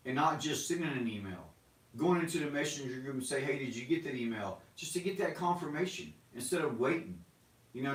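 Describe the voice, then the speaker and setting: A man in his forties hosting a casual, energetic sales talk to camera, rambling with restarts. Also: mid-range voice, echoey room, recorded from a distance, low-quality recording. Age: 40s. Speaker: host. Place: small studio room with banners and props.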